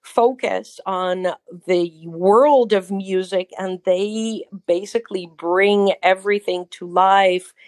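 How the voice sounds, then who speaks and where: A woman's voice: medium pitch, fairly even intonation, slow pace, few pauses, darkish tone, no echo. A middle-aged woman from Israel with an Israeli accent, a rabbi and cantor answering an interview in an effusive, conversational way with frequent restarts.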